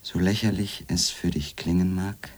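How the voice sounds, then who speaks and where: An actor playing an obsessed, solitary journalist in a radio drama, voicing letters in a halting, grave, brooding manner. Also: male voice, low voice, a little hiss or hum, close to an everyday voice. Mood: brooding, pleading. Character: obsessed, solitary male journalist writing to his wife.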